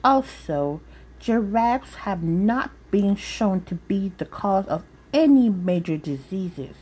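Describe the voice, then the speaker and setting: Earnest storybook little girl played by a woman, higher-pitched, formal and persuasive, unhurried. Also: lively intonation, warm tone, breathy edge, rough recording. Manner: formal, presentational, inquisitive.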